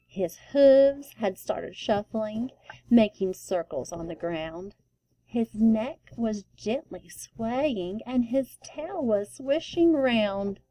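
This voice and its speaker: Slow, mellow woman reading a storybook. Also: mid-range voice, expressive, no room sound, rough recording. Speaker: read-aloud storyteller. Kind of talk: expressive children's storybook reading.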